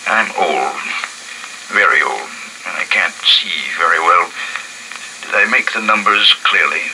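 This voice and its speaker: A man voicing a frail old grandfather who talks in a slow, halting, wistful way. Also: sits low, background noise. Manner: slow, halting, trailing delivery. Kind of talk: audio drama reading.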